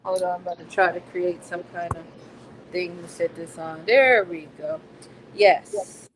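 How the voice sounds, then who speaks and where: A woman's voice: on the low side, fairly even intonation, a little slow, a little hiss or hum, low-quality recording. A woman co-hosting a casual book discussion in a playful, slangy, joking way. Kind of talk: casual book club chat, some synopsis reading.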